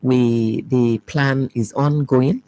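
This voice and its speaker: This is a speaker giving official answers in a radio-TV interview, keeping to a middle pitch. They are measured and careful, and they often pause on "um" or restart a phrase partway through. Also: steady tone, dark tone. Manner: measured, many ums and restarts. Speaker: education official being interviewed.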